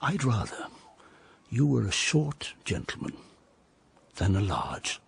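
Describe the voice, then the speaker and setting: A pompous, old-fashioned English father in a comic radio play. His voice is husky and breathy, his delivery slow and deliberate, and his pitch swoops widely as he lectures. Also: very animated, exaggerated voice. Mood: lecturing. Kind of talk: comic radio drama acting.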